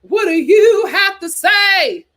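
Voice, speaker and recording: A woman hosting a casual live chat show, reacting to comments in an animated, gossipy, blunt way and repeating phrases for emphasis. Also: very high-pitched, slow. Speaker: host of a reality TV chat show.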